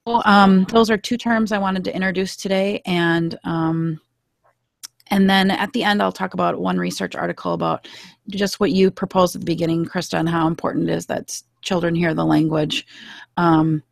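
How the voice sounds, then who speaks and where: A woman in her thirties with a low, gravelly voice, asking questions on a video call. She speaks haltingly, stopping and restarting her sentences. The desk microphone gives a dry, close sound. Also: dry recording. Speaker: podcast co-host and teacher. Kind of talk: casual interview. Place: home room with shelves. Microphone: desk microphone with headphones on a video call.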